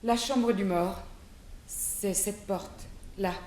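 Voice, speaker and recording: An actress playing a deferential household servant in a 1960s French radio drama, speaking formally and informatively. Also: female voice, medium pitch, drawn-out words, noisy background. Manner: formal third-person deference.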